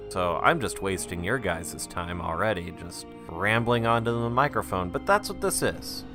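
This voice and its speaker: Casting: male creator for an audio diary, low but bright, forceful voice, conversational and self-deprecating, music behind. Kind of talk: casual rambling audio log.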